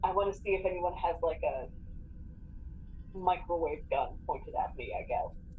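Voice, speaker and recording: A woman's voice: fairly low pitch, normal speed, dark tone. A woman chatting casually as a player in a tabletop role-playing game. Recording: clearly noisy recording.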